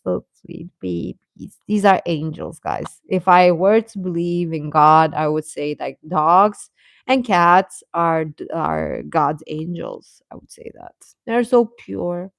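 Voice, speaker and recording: A woman hosting a casual livestream chat, answering viewers informally and haltingly, often repeating short phrases. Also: on the low side, animated, creaky, no echo, studio quality. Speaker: livestream host chatting with viewers.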